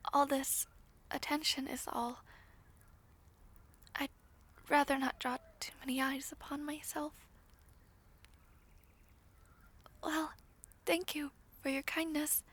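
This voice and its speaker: A woman playing a shy, humble young former maid in an audio roleplay, soft-spoken, hesitant and formally polite. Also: high voice. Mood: shy, curious. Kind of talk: scripted audio roleplay acting.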